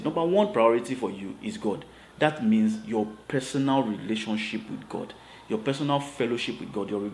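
A man's voice: medium pitch, a little mellow, slight background noise. A man in his thirties giving earnest advice to camera from a home office, with an emphatic, preachy manner and frequent "right?" tags. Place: home office with shelves, indoors. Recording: roomy sound with faint ambience.

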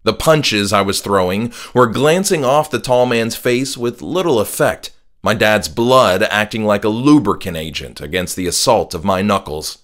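A man's voice: on the low side, animated, normal speed, hardly any pauses, a bit bright. A man narrating a horror audiobook as its author, in a dramatic scripted style. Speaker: author narrating his own horror story. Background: music.

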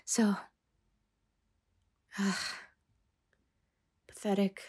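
A woman playing a stern, weary boss in an ASMR roleplay, speaking in a measured way with sighs and hesitant pauses. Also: a little mellow. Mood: weary, softening. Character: stern, weary female mafia boss scolding an employee. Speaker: ASMR voice actor.

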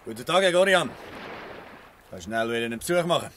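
A smooth-talking, calculating company boss, acted by a man in a Swiss German radio drama. The voice has a middle pitch, and there is faint noise behind it. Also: expressive, fast, a bit bright, close to an everyday voice. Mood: sly, accusing. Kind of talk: dialect radio play acting.

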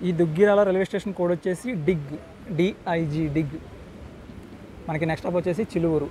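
Male travel vlogger, Andhra Telugu accent, high-pitched and chatty, casual delivery over clear train and outdoor noise. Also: fast, mellow, rough recording. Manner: chatty, addresses viewers directly. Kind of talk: casual travel vlog commentary. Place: on board a moving train. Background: train rumble and outdoor ambience.

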